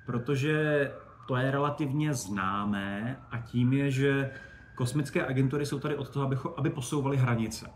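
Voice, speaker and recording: A man's voice: medium pitch, slight background noise. A man giving conversational, enthusiastic and discursive answers as a guest expert on spaceflight.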